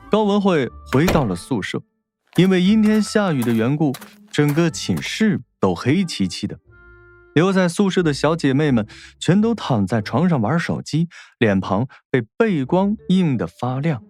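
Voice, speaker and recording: A man narrating a scripted audiobook in an expressive storytelling manner. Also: on the high side, normal speed, soft background music.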